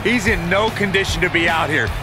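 Guy playing opinionated wrestling commentator, pitched high, loud music under him. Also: brisk, natural voice. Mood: blunt. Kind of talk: sports commentary.

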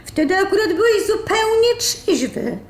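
Woman acting a high-pitched, sardonic, fed-up girlfriend. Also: big pitch swings, creaky, full character voice. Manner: sardonic, brisk. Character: sharp, sarcastic, fed-up woman, live-in partner. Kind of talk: radio drama acting. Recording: roomy sound with faint noise.

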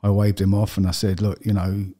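A middle-aged man from London with a London accent, a podcast guest telling his story plainly, with steady fillers. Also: low voice, fairly quick. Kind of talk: personal interview. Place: podcast studio with brick wall. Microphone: studio desk mic with headphones.

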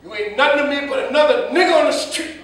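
A man's voice: very high-pitched, fast. An actor playing an older father, accusing and grief-stricken, in a theatrical staged reading. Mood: bitter, cold. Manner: accusing, forceful, repeats phrases for emphasis. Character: grieving, furious older father disowning his murderer son. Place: indoor hall, staged reading. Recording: echoey hall, distant microphone.

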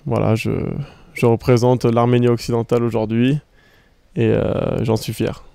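A young male footballer from France interviewed outdoors among trees, speaking calmly into a handheld microphone with many hesitant fillers. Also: medium pitch, normal speed, gravelly, smooth. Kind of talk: post-match style interview. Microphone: handheld microphone held by interviewer.

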